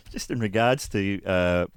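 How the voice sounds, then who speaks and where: A man's voice: sits low, slow, smooth, no echo. A man with an Australian accent hosting a podcast, interviewing in a casual, jokey, teasing way. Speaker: podcast host. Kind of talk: casual comic banter and interviewing.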